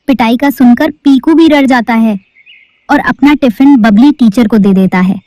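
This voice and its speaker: A woman's voice: a little high, unhurried, warm tone, no echo. A woman narrating a cartoon story in a storybook-telling manner.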